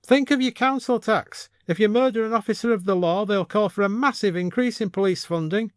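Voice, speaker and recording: A man's voice: sits high, fairly quick, clean tone, no room sound, clean studio sound, voice pushed up, close to an everyday voice. A man playing a flustered, pompous village police constable in an audio story, officious and pleading. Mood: desperate.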